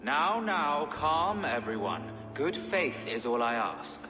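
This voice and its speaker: A medieval bishop, placating and earnest, his pitch moving with animation. The voice echoes in a long hall over game music. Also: very animated, takes it slowly. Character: placating older male bishop.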